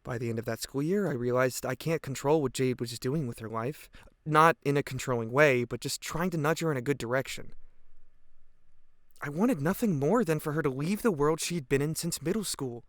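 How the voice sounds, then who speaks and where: A man's voice: medium pitch, normal speed, no echo, clean studio sound, natural voice. A man acting a guilt-ridden, earnest teenage boy giving hesitant, reflective testimony in an audio drama. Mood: earnest, defensive. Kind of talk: audio drama monologue, police interview testimony.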